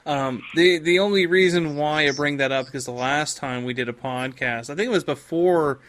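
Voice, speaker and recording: A man's voice: on the high side, moderate pace, a bit bright. A man hosting a podcast, chatting casually as he interviews his guests over some background noise. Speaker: podcast host.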